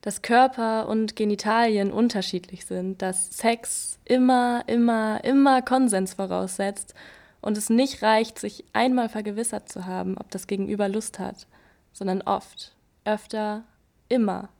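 A woman's voice: medium pitch, fairly even intonation, a little slow, a little mellow. A woman in a radio play speaking emphatically and insistently. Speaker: performer in an audio play. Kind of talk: scripted educational radio play reading.

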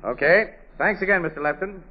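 A man's voice: high-pitched, animated, brisk. An actor playing a breezy, clipped and informal newspaperman in a 1940s radio crime drama. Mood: brisk, friendly. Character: brisk, casual male newspaperman and crime photographer. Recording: muffled old radio recording, slight hum.

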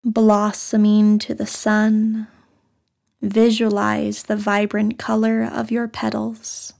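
Slow, even-toned woman guiding meditation. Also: mid-range voice, creaky. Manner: soothing, unhurried, short phrases with long pauses. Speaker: guided meditation host. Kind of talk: guided meditation, scripted narration.

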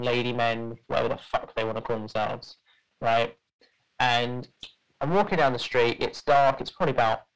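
A young man talking at an ordinary middle pitch, telling a story in a loose, rambling way. He stops and restarts often and fills the gaps with ums and likes. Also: a bit bright, smooth, poor audio. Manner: rambling, many fillers and restarts, laughs at own story. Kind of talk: casual podcast storytelling.

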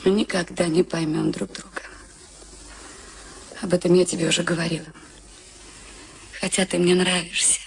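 A woman's voice: fairly low pitch, level tone, normal speed, gravelly, long pauses. An actress playing an exasperated young woman in a Soviet radio play. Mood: resigned, detached. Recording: faintly noisy archival radio drama recording.

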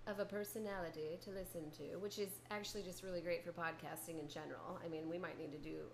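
A woman's voice: on the low side, fairly even intonation, quick pace, gravelly. A woman hosting a podcast in casual chat, bubbly and gushing, with some swearing. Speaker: podcast host. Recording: echoey room with faint noise.

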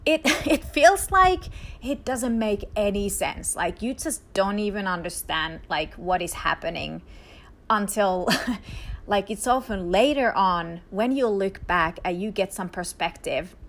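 A woman's voice: mid-range voice, expressive, measured pace. A woman in her thirties from Finland with a Finnish accent, a podcast host telling her faith story in a chatty way, with many fillers and light laughs. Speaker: podcast host, dance minister. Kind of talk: casual personal testimony.

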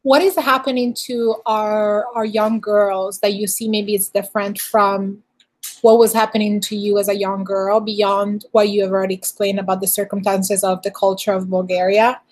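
A woman's voice: mid-range voice, little pitch movement, moderate pace, darkish tone. A woman hosting an interview, asking long, rambling questions with many fillers. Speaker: podcast host.

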